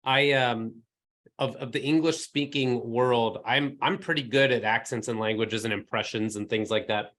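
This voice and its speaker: A man's voice: mid-range voice, steady tone, moderate pace, a bit bright. A man hosting a podcast, asking questions in a friendly, chatty way. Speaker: podcast host. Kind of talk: casual interview chat.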